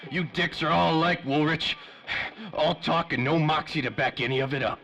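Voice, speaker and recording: A man's voice: on the high side, moderate pace, gravelly, fairly bright tone. An actor playing a loyal, disdainful henchman with stiff, taunting tough talk in a 1930s radio noir. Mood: defiant. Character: loyal, disdainful male henchman of a crime boss.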